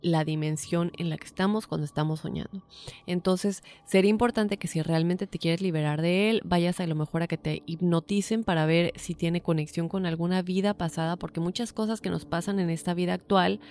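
A woman's voice: lowish voice, a little mellow. A woman from Mexico hosting a casual talk show, reading listener stories aloud and giving spiritual advice. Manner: reads listener messages aloud, then gives spiritual advice. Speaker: podcast host.

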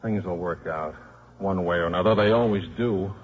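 A man's voice: sits low, moderate pace, over loud music, lightly acted. Man playing a stubborn, wry coach, muffled radio. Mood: resolute, resigned. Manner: terse, sardonic, plainspoken. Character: stubborn, wry football coach and devoted father. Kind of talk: 1950s radio drama acting. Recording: muffled, noisy 1950s radio broadcast.

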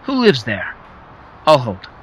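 A man's voice: medium pitch, huge pitch swings, slow, slight background noise. A man playing a confident, friendly president in an audio drama.

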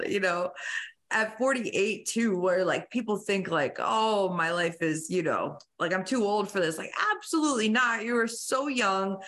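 A woman's voice: lowish voice, a bit bright. A woman hosting a podcast, chatting casually and effusively with lots of "like" and "you know.". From moved from Italy to the United States as a young child. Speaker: podcast host. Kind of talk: casual enthusiastic chat.

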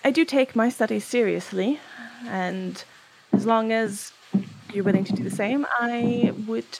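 A woman voicing a polite, studious young male scholar in a put-on British accent, with formal, measured speech. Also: fairly high pitch, a little mellow, slight background noise, poor audio. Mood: courteous.

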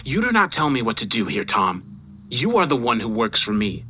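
Forceful, domineering boss, acted out by a man. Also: medium pitch, very animated, moderate pace, slight background noise. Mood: condescending. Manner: condescending, mocking, forceful. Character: domineering, furious newspaper boss berating his employee over the phone. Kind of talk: dramatised audio story dialogue.

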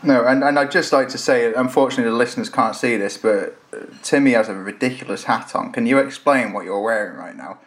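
A man's voice: mid-range voice, fast. A man co-hosting a podcast, chatting in an enthusiastic, rambling way. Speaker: podcast co-host. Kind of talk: casual podcast chat.

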